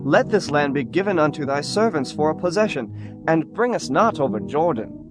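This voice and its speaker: Guy doing earnest respectful tribesman, bible drama, formal pleading, kinda high voice, music under. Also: very animated, moderate pace. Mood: respectful request. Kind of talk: dramatized scripture reading. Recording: music behind the voice.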